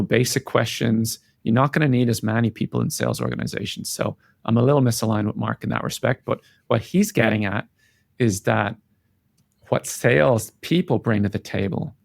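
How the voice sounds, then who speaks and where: A man's voice: lowish voice, expressive, moderate pace, vocal fry, no echo. A man giving earnest, reflective and emphatic answers as a guest in a conversational interview. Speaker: interview guest, tech company founder and CEO.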